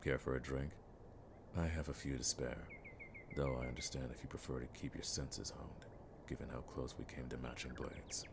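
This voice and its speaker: A man playing a seasoned, world-weary but dryly friendly monster hunter in a fantasy audio roleplay, speaking in a measured, wry way with old-fashioned phrasing. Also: little pitch movement, noisy background. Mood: relaxed, wry. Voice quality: very deep, gravelly.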